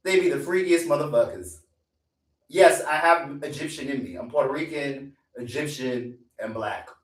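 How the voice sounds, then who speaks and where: A man's voice: a little high, normal speed, far from the mic. A man in his twenties hosting a live stream in a casual, profane rant that repeats lines for emphasis. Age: 20s. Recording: echoey room, away from mic.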